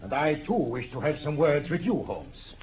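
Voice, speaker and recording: An actor playing a haughty, hot-tempered aristocratic villain, indignant and theatrical, in 1950s radio drama style. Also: male voice, on the high side, sing-song, normal speed. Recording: tinny, noisy 1950s radio recording.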